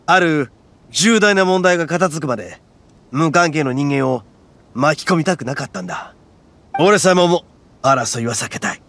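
An actor voicing a loud, brash young oni gang leader in a game, swaggering and rough-talking but big-hearted. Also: male voice, on the high side, expressive, moderate pace. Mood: serious. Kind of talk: game voice acting. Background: game music.

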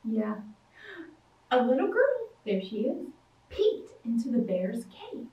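A librarian in her thirties reads aloud to small children, her high voice leaping around in a slow, sing-song way. She acts out the big moments with shouts and roars. The sound is distant and roomy, as if caught by a camera across the room. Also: sing-song, dull audio. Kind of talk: storytime read-aloud for young children. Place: library storytime room with toys. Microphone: far camera. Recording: echoey room, voice from afar.

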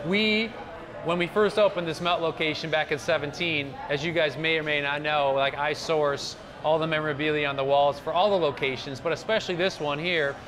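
A man's voice: fairly high pitch, fairly even intonation, brisk, crowd noise. A man, hosting a promotional interview in his restaurant, enthusiastic and chatty. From Cleveland, Ohio. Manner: enthusiastic, chatty, many fillers like "like". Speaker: restaurant owner hosting the chat. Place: inside a decorated restaurant.

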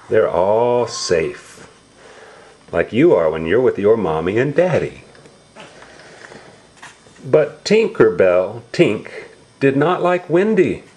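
Low-pitched man reading slowly to small children. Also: darkish tone. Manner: simple, repetitive, drawn-out words with exclamations. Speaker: storybook reader for young relatives. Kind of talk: read-aloud storytelling to young children, explaining pictures and words.

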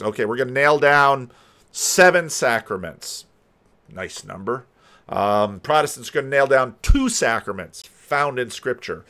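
An older man giving casual, rambling commentary as a host, halting and thinking aloud. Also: mid-range voice, bright, forceful tone. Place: home room lined with books. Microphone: desk microphone, close, with headphones.

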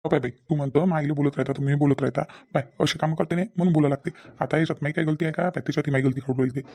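A man as a rapid-talking, irritable village husband. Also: a little high, steady tone, darkish tone. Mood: irritated, defensive. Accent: rural Maharashtrian, Vidarbha. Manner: argumentative, self-justifying, repeats himself. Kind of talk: Marathi comedy cartoon dialogue.

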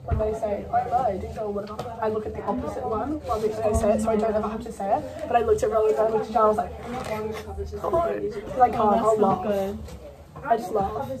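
A woman's voice: a little high, steady tone, brisk, low-quality recording. A young woman chatting casually to a handheld camera as she vlogs backstage. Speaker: vlogger, pantomime performer. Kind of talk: casual backstage vlog chat. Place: busy backstage dressing rooms. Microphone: handheld camera close by. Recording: loud chatter of backstage crowd.

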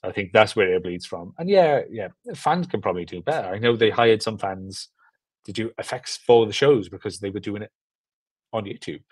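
A young man with a British accent talks casually in an interview over a video call. His voice sits fairly low, and he rambles and repeats himself in a self-deprecating way. The sound is dry, with little room on it. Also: normal speed, husky, dry recording. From Britain. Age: young adult. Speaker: guest filmmaker.

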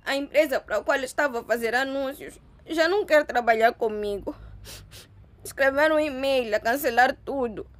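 A woman acts out a despairing young woman in a radio drama. Her voice is high and plaintive, close to tears. She sounds wronged and beaten down. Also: normal speed, lightly acted. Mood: hurt, pleading. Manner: tearful, plaintive. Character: despairing young woman, a wronged cousin who lost everything.

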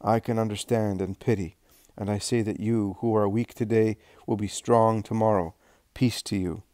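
A man's voice: on the low side, steady tone, normal speed, warm tone, dry recording, clean studio sound, lightly acted. A man reading aloud, voicing a consoling older man who speaks earnestly and reassuringly.

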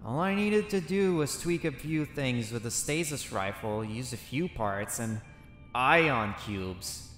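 A man voicing his character in a survival game roleplay, excitably thinking aloud to himself. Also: mid-range voice, takes it slowly, soft background music.

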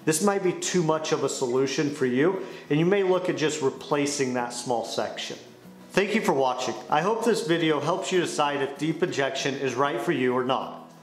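A young man with a slightly high voice delivers a scripted explainer as a company presenter. He speaks to camera in a small studio, and the room echo is clearly audible around his words. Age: young adult. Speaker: sales director presenting a company explainer video. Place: small indoor studio with dark wall panels. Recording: roomy echo on the voice.

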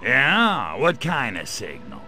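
A man's voice: medium pitch, huge pitch swings, slow pace, creaky, pushed and bright, faint music behind, full character voice. A man voicing a small, wisecracking grey alien invader in a video game, brash and sardonic, with an American accent. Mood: teasing. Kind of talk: video game cutscene acting.

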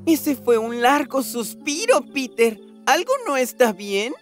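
Casting: an inquisitive, bubbly kids' cartoon host, high, very animated, unhurried, over music. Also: female voice. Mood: friendly. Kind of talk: children's educational cartoon dub.

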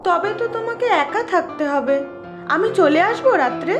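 A woman's voice: very high voice, animated, moderate pace. A woman playing a chatty village housemaid and nagging wife in a cartoon, with a sceptical, familiar manner. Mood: familiar, brisk. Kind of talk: Bengali cartoon voice acting. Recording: roomy echo, loud music bed. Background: music.

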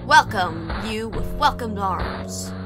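A woman's voice: medium pitch, huge pitch swings, slow, over loud music. A woman voicing a proud, grandiose male skeleton in a pompous, emphatic comic dub. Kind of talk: comic dub character acting.

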